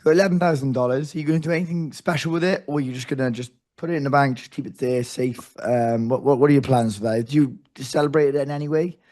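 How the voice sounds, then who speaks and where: Hoarse guy hosting a chat show, rambly, lots of restarts. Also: mid-range voice, steady tone, fast talker, few pauses, darkish tone. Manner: chatty, rambling, full of restarts. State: losing his voice. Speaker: host. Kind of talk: casual interview.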